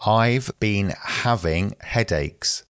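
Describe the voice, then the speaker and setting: A man reading English phrases slowly and carefully for learners, saying each one twice. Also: fairly low pitch, clear, resonant tone, no echo, studio quality. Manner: slow, careful, even delivery.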